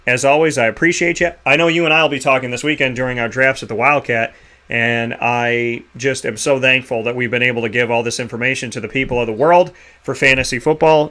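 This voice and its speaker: A man hosting a sports radio show, giving rambling, energetic shout-outs and sponsor plugs. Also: medium pitch. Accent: Boston. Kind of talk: enthusiastic sign-off with sponsor plugs.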